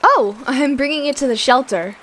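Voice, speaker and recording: A woman voicing an upbeat, excitable young female mutant lizard, a chatty idealist, in a comic dub. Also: sits high, moderate pace. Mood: awed, excited.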